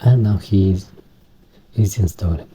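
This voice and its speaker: A man with a Romanian accent, speaking English as a second language, talking through a computer setup in a halting way with many restarts. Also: sits low, mellow, a little hiss or hum. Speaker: tech vlogger. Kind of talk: screen-recorded tutorial.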